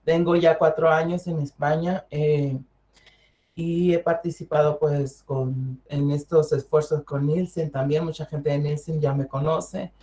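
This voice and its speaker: A woman's voice: low-pitched, fairly even intonation, a little slow, dark tone. Young Mexican woman, panel guest, candid and conversational, speaking with frequent fillers. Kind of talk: online panel discussion.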